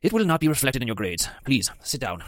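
Guilt-ridden potions master, formal and pained, lively pitch, brisk delivery, read by a man. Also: dry recording, clean studio sound, close to an everyday voice. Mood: grave.

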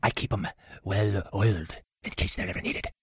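A man's voice: on the low side, moderate pace, husky, no room sound, clean studio sound. A man playing a cruel, leering school caretaker in an audiobook, nasty, gloating and menacing in manner. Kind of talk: audiobook character acting.

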